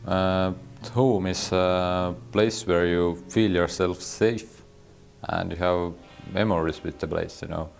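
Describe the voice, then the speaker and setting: A man's voice: deep voice, steady tone, takes it slowly, gravelly, faint music behind. A man answering interview questions thoughtfully, with many fillers.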